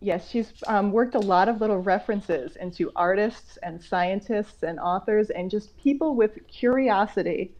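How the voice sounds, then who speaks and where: A woman author reading a picture book aloud to children, going between slow measured reading and conversational explanatory asides. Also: mid-range voice, darkish tone. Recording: dull, lo-fi online call audio.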